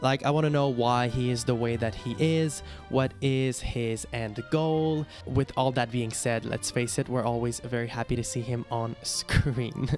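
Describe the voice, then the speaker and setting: A man's voice: medium pitch, fairly even intonation, measured pace, few pauses, darkish tone. Quebec guy speaking english w/ french accent, friendly, lots of ums, faint music under. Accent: Québécois French. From Quebec, Canada. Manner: friendly, hesitant with many fillers. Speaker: YouTube host. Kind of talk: casual ranking commentary.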